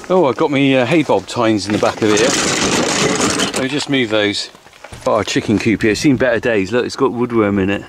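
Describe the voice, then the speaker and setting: Brief: middle-aged farmer, cheerful and chatty, selfie camera outdoors with noise behind. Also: male voice, medium pitch, brisk. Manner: cheerful, chatty, asks lots of questions. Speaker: farmer vlogger. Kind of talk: casual vlog chat. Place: outdoors on a farm and in a car. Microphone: handheld camera selfie. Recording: noisy outdoor camera audio.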